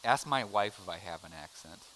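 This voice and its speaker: A man's voice: fairly low pitch, expressive, fairly quick, bright, forceful tone, slight background noise, low-quality recording. A middle-aged American man teaching a casual adult Bible class, chatty and joking as he quizzes the room. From United States. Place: church classroom.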